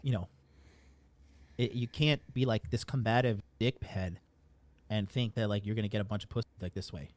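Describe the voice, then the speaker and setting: A man's voice: on the low side, normal speed, pauses often. A man giving casual commentary in a blunt, profane, lecturing way.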